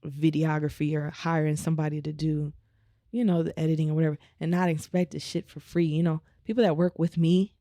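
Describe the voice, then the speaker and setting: Woman w/ a naturally raspy voice, laid back, lots of "you know", dry close mic sound. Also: sits low, little pitch movement, a little fast, mellow, no echo. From Omaha, United States. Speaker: guest musician. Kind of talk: casual interview chat.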